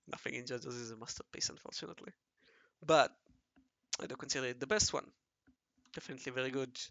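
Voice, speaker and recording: Raspy guy mumbling thru his drawing session, kinda gravelly, bright edgy tone. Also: medium pitch, fairly quick, pauses often, no echo. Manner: mumbling and self-correcting, often murmuring half to himself. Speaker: hobby artist narrating his drawing session. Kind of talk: casual think-aloud commentary while drawing.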